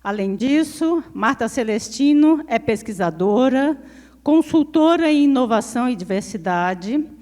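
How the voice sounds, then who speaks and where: A woman with a Brazilian Portuguese accent, her voice set fairly high, reads out a prepared introduction for a speaker. Her words carry a long reverb from the lecture hall. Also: lively intonation, moderate pace, warm tone. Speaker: event presenter.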